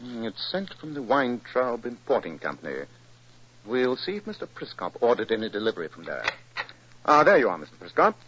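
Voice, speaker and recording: An actor playing a brisk, authoritative British gentleman detective with a cool, commanding manner in a 1940s radio drama. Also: mid-range voice, sing-song. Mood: courteous, cool. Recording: muffled, hissy old radio recording.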